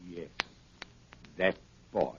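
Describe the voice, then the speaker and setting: An actor playing a cold, menacing criminal mastermind in a 1930s radio drama, with a measured, formal delivery and veiled threats. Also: male voice. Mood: cold menace. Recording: muffled, noisy 1930s radio recording.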